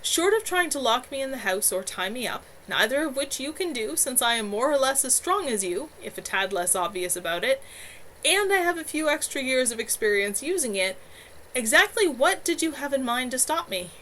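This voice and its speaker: A woman's voice: sits high, very animated, moderate pace, sharp tone, slight background noise, voice pushed up, exaggerated voice. A woman reading a strong-willed young woman's lines, firm and dismissive.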